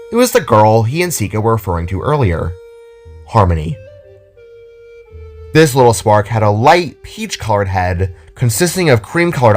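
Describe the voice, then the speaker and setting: A man reading scripted story narration as the narrator of an audio drama. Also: lowish voice.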